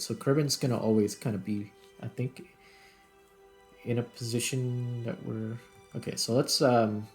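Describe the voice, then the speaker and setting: Gravelly man casually narrating his gameplay. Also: medium pitch, darkish tone. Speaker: host, gaming video creator. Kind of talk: casual gameplay commentary, thinking aloud.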